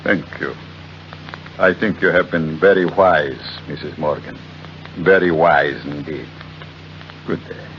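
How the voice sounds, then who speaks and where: An actor playing a smug, shabby-genteel blackmailer of about fifty in old radio drama, speaking with formal, unhurried mock courtesy. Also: male voice, extremely low pitch, heavily acted. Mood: oily, polite. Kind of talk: 1940s radio acting. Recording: dull, noisy old radio recording.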